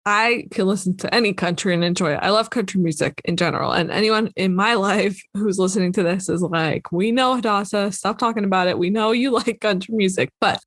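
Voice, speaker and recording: A woman's voice: mid-range voice, a little fast, few pauses, fairly bright tone, dry recording, studio quality. A woman co-hosting a podcast in casual chat, laughing often and teasing herself. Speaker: podcast co-host.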